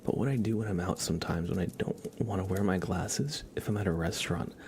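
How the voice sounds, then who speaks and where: A man chatting casually on an ASMR livestream in a soft, often whispered voice, relaxed and rambling, close to the microphone. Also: low-pitched, quick pace, a little hiss or hum. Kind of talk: ASMR, casual chat with viewers.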